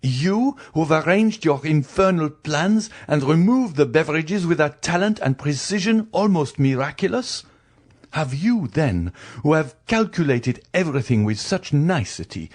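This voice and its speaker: Storybook villain-judge voiced by a man: stern husband passing sentence, deliberate, emphatic, widely varied pitch. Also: animated. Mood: indignant, accusing. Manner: cold, commanding, emphatic oratory. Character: stern, merciless male magistrate condemning his wife.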